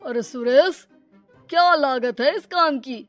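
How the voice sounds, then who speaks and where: A woman voicing a greedy, scheming middle-aged moneylender in an animated moral story, sly and brusque. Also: high voice, slow pace, no room sound, faint music behind.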